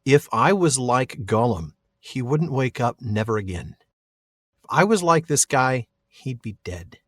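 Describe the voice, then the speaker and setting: A man's voice: mid-range voice, animated, measured pace, a bit bright, no room sound. A man co-hosting a podcast, discussing books casually in an agreeable, thoughtful, conversational manner. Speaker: podcast co-host.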